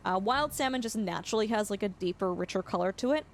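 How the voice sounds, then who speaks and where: A young woman streaming a farming game, chatting casually and explaining facts with lots of fillers, her voice slightly hoarse. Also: mid-range voice, expressive, fast, a little hiss or hum. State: voice going hoarse. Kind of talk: casual live-stream chat.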